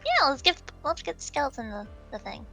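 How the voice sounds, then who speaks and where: A woman's voice: high voice, huge pitch swings, normal speed, gravelly. A woman chatting casually as she runs a livestream. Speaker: live streamer. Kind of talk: casual stream chat. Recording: music playing behind the voice. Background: music.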